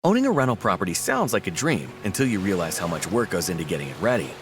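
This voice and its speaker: A man reads a conversational commercial at a brisk pace. His pitch swoops very widely, with faint music underneath. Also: very animated. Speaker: advertising voice.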